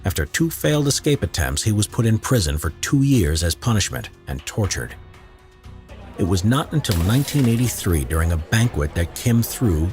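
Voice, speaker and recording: A man narrating a history documentary in a measured, expressive, scripted storytelling style. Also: deep voice. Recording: narration with faint music bed.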